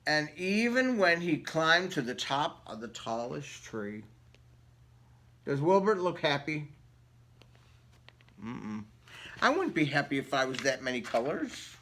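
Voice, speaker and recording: Man, animated storybook reader, very bright voice, unhurried pace, playful asides to young listeners. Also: on the high side. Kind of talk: animated picture book read-aloud with asides to listeners.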